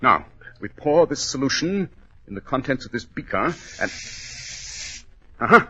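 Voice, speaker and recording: An actor playing a shrewd, confident gentleman detective, precise and formal, in a 1940s radio drama. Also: medium pitch, big pitch swings, unhurried, husky, exaggerated voice. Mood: keen, assured. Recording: dull old radio recording.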